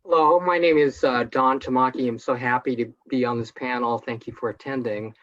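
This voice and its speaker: An older man speaks earnestly as a panelist, now and then catching on fillers. His mid-pitched voice arrives over muffled, lo-fi video-call audio. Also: steady tone, brisk, fairly bright tone. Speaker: panelist attorney. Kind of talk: panel remarks.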